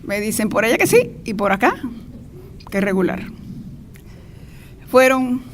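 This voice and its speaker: A woman's voice: a little high, big pitch swings, a little slow. An older woman with a Cuban accent giving an informal, chatty introductory speech with playful asides. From Cuba. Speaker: speaker introducing a lecturer. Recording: noisy live event recording.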